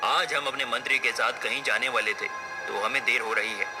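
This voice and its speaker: A man's voice: mid-range voice, fairly even intonation, fairly quick, natural voice. Man acting a formal folk-tale king over music. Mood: courteous. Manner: formal, regal phrasing. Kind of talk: cartoon story acting.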